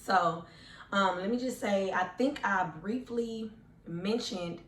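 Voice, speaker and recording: A woman in her twenties with a hoarse, deep, raspy voice, chatting earnestly and casually to her viewers as a vlogger. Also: slow, echoey room. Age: 20s. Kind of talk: casual personal storytelling to camera.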